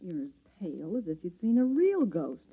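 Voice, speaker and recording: A woman's voice: fairly low pitch, huge pitch swings, normal speed, husky, full character voice. An actress playing a hard-boiled, sardonic society woman with dry delivery in old-time radio style. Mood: dry, mocking. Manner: dry, sarcastic drawling delivery. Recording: thin, phone-like old radio recording.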